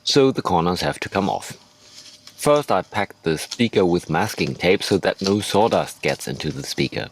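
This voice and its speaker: A man's voice: low voice, expressive, moderate pace, slight background noise. A man narrating a hobby tutorial as a voice-over, hosting his own how-to video.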